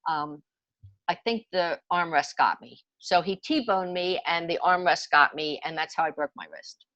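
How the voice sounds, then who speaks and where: A woman's voice: fairly low pitch, measured pace, fairly bright tone, dry recording, dull audio. A woman in her sixties telling personal stories in a chatty, emphatic, conversational way as a podcast guest. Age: 60s. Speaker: podcast guest, homeopathy enthusiast.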